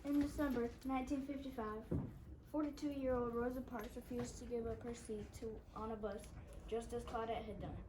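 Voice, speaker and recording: A woman's voice: fairly high pitch, fairly even intonation, moderate pace. A woman reading scripted narration aloud for a readers theater play. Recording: faint background room noise.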